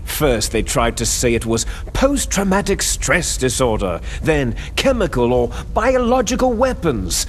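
An actor playing a bitter, fanatical villainous clone soldier in a video game, arrogant, menacing and condescending, with drawn-out theatrical phrasing. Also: male voice, medium pitch, very animated, a little hiss or hum. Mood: grave, revealing. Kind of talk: video game cutscene acting. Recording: music behind the voice.

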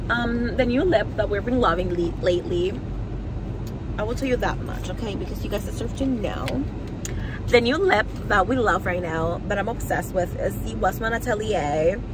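A woman's voice: mid-range voice, normal speed. A young woman chatting casually while she drives. She rambles and swears bluntly, and "like" comes up in almost every line. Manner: rambling, heavy "like" fillers, blunt and profane. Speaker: vlogger. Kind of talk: casual vlog chat while driving. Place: in a car. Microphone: phone camera. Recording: loud car and road noise.

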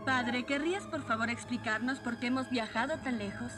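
A woman's voice: on the high side, normal speed, natural voice. A woman playing an earnest young warrior and devoted daughter in a cartoon dub, with a Latin American Spanish accent. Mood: curious. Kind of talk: dubbed cartoon heroine acting. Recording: music behind the dialogue.

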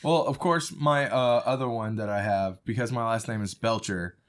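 A man's voice: mid-range voice, normal speed. A man co-hosting a casual podcast chat at a table mic, joking and laughing often. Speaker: podcast co-host. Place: living room studio. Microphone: desk mic on stand.

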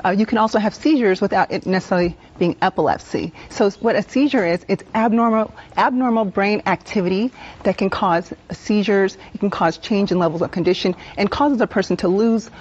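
American woman explaining clearly, slightly dull sound. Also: mid-range voice, brisk, darkish tone. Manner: informative, explains in plain terms. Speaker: emergency room nurse and expert guest. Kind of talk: explanatory live TV interview. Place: TV news studio. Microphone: lapel mic.

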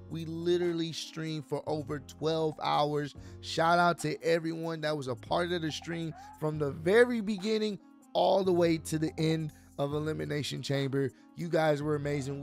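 A man in his thirties with a hoarse, worn-out voice, casually recapping a wrestling show for his channel from a home studio. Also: fairly high pitch. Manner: animated, talks with his hands, loose slangy delivery. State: hoarse, voice mostly gone. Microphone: large studio mic on boom arm. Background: soft instrumental music.

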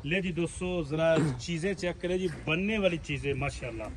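A man's voice: fairly high pitch, moderate pace. A man with a Pakistani accent hosting an outdoor livestock video, addressing viewers and briefly echoing what he is told. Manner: frequent short acknowledgements and repeats. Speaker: video host, interviewer. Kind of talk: livestock market video presenting. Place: open-air cattle farm yard. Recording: faint outdoor and vehicle noise.

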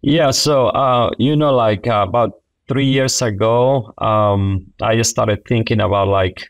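Gravelly low-voiced man with a Honduran Spanish accent. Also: takes it slowly, no echo. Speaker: guest, poultry science professor. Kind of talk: explanatory interview talk. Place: small indoor office, video call. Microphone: wireless earbuds on a video call.

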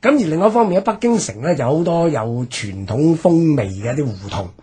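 Husky, lively Hong Kong Cantonese man. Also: on the high side, fast. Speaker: radio history programme presenter. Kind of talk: explanatory history discussion.

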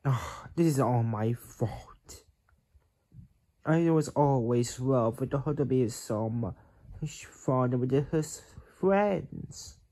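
Husky, halting amateur voice actor. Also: medium pitch, animated, mellow. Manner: halting, drawn-out delivery. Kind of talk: amateur acted reading of character voice lines. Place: small bedroom at home.

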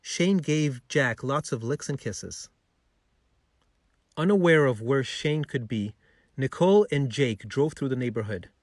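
A man's voice: mid-range voice, lively intonation, a little slow, no echo, studio quality. A man narrating a scripted bedtime story for children as a storyteller.

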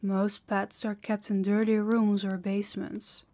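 Female demon, played by a woman, audio roleplay: harsh, commanding, possessive, unhurried delivery. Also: mid-range voice, little pitch movement, mellow, no echo, lightly acted. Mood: taunting, possessive. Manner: slow, haughty, commanding, taunting. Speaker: voice actor. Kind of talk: intimate scripted audio roleplay.

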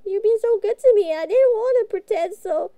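A woman playing a childlike, guilt-ridden catgirl pet in a fantasy audio roleplay, speaking in halting, pleading, naive phrases. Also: very high-pitched, dark tone, dry recording, clean studio sound. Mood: tearful, guilty.

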